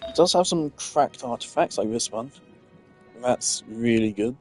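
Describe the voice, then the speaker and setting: A man's voice: medium pitch, expressive, measured pace, darkish tone, soft background music. A man giving casual gameplay commentary, rambling as he thinks aloud. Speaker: gamer.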